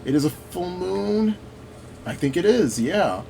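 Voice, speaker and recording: A man's voice: high-pitched, sing-song, unhurried, vocal fry, warm tone, slight background noise, low-quality recording. A man giving casual gameplay commentary, rambling and thinking aloud as he plays. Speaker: gaming video host.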